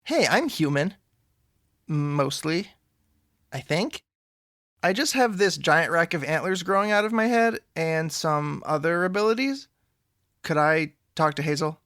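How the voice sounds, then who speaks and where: Actor as earnest young sidekick, dry close sound. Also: male voice, on the high side, expressive, measured pace, pauses often, fairly bright tone, no echo. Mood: sheepish. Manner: polite, eager, rambling explainer. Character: earnest, awkward young male superhero sidekick with antlers. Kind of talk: scripted audio drama dialogue.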